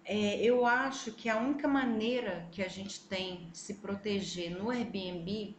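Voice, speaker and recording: A woman from Brazil with a Brazilian Portuguese accent, a travel vlogger telling her story in a casual, animated way and talking straight to her viewers. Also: mid-range voice, unhurried, slight background noise. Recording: echoey room, faint ambience.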